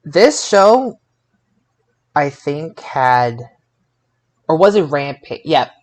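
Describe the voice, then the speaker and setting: A man co-hosting a casual wrestling podcast, excitable and stammering, often repeating his phrases. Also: a little high, extremely slow. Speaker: podcast co-host.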